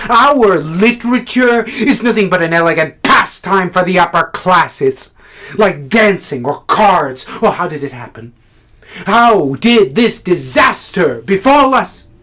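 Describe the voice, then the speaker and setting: A man's voice: sits high, lively intonation, a bit bright, voice pushed up, heavily acted. A man playing a fervent, swooping literary critic. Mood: indignant. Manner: impassioned, halting then declamatory. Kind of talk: theatrical stage monologue.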